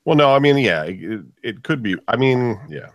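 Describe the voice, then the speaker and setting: A man with a bassy voice co-hosting a casual radio show, thinking aloud in a hesitant way during a sound check. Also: animated, moderate pace, dry recording. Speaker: radio show co-host and audio engineer.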